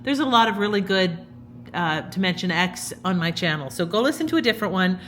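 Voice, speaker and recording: Low voiced lady casually introducing stuff on her channel, kinda blunt and offhand. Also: animated, fairly quick, a bit bright. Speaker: channel host introducing an old radio episode. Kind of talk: casual spoken disclaimer.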